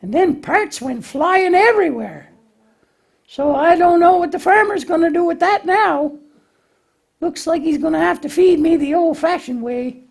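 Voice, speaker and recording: A man's voice: extremely high pitch, lively intonation, unhurried, creaky, voice pushed up, exaggerated voice. A man voicing a naive, eager, bumbling young lamb puppet in a children's show, with a hesitant, folksy, childlike way of explaining. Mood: sheepish.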